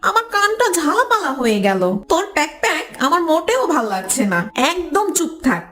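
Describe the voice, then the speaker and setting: Woman playing a high-voiced, arrogant cartoon mango. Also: sing-song, brisk, a bit bright, full character voice. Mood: irritated, scolding. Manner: haughty, scornful, scolding. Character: arrogant, quick-tempered ripe mango. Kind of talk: children's cartoon voice acting.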